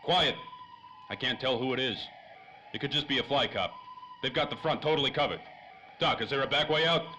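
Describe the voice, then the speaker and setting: A man's voice: mid-range voice, a little fast, pushed and bright, natural voice. A man playing an impatient, wisecracking, slangy tough guy in 1930s radio drama style. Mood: urgent. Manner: slangy, snappy. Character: hard-boiled, impatient, wisecracking male 1930s detective type. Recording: faint noise, light ambience.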